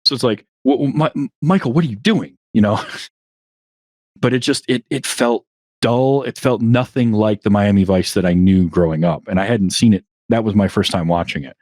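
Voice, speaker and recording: A man with a lowish voice talks loosely as a podcast guest, often restarting mid-sentence and chuckling at himself. The sound is dry and close, with no room around it. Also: moderate pace, a little mellow, dry recording. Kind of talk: casual interview chat.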